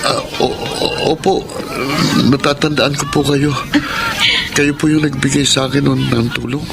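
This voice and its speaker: An actor playing a destitute, pleading young man in a Filipino radio drama, humble and halting in his speech. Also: medium pitch, level tone, creaky, very noisy, natural voice. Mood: humble, hopeful. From Negros, Philippines (the figure's home). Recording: radio drama with loud music bed.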